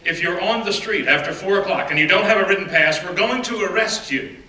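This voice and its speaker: A man giving a first-person dramatic reading of an oral history, measured and deliberate, often dropping to hushed phrases. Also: high-pitched. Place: stage or event room. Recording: roomy, distant mic with faint background noise.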